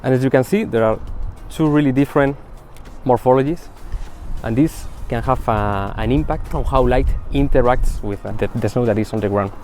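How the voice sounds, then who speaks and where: A man, a researcher, explaining his work haltingly, with many small fillers. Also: medium pitch, a little mellow, soft background music.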